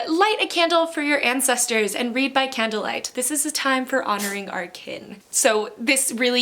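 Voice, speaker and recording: A woman chatting casually to camera as a book vlogger, gushing and excitable, repeating words for emphasis. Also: on the high side. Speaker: book vlogger, channel host.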